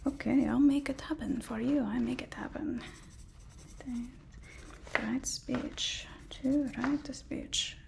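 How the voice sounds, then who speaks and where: A woman playing a deferential, flustered personal assistant to a supervillain in a halting, whispered ASMR roleplay. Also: sits high, steady tone, heavily acted. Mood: eager to please. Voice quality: soft, breathy whisper.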